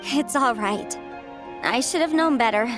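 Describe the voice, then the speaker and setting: A woman voicing a cheerful, easily flustered young horned scholar in a video game, chatty and expressive. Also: high voice, unhurried. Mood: upbeat, chatty. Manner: chatty, expressive, hesitant when put on the spot. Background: background music.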